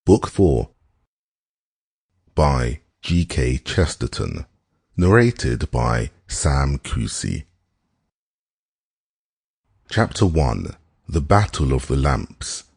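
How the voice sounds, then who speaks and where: Very deep, husky male audiobook narrator. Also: very animated, very slow, pauses often, a little mellow, no echo, clean studio sound. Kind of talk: scripted literary narration.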